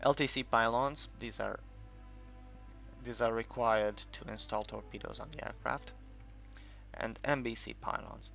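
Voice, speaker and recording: A man giving casual, unscripted game commentary in a halting, rambling way, as if thinking aloud while he explains things. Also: on the low side, gravelly, bright, forceful tone. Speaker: gaming video creator narrating a tutorial. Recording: dull lo-fi home recording, music behind.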